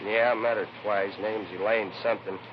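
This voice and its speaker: A man's voice: lowish voice, level tone, brisk, natural voice. An actor playing a plain, talkative but cagey working-man shop owner in a 1950s radio drama, speaking in casual clipped sentences. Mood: cagey, gossipy. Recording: noisy, dull vintage radio recording.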